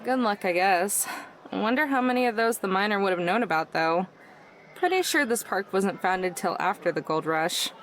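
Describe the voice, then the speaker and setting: A woman's voice: mid-range voice, animated, moderate pace, a bit bright, slight background noise, lightly acted. Woman playing a guarded, dry young woman. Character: guarded, wry, modest young woman road-tripper. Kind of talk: visual novel voice acting.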